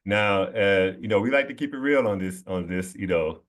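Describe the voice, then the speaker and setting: A man's voice: fairly low pitch, lively intonation, normal speed. A man hosting a casual student conversation, friendly, with frequent fillers and laughter. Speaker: host.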